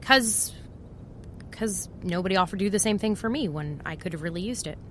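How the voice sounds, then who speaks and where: An actress playing a wry, impulsive private eye, talking in a sardonic, confiding way in an audio drama. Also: female voice, mid-range voice, lively intonation, moderate pace, a bit bright, a little hiss or hum, lightly acted. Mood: guarded, casual. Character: wry, impulsive female private eye, over twenty-seven. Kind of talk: first-person noir audio drama narration and dialogue.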